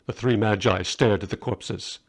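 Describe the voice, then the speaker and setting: A man's voice: fairly low pitch, fairly even intonation, a little slow. Man reading a story aloud. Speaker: author reading own short story. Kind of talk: scripted story narration.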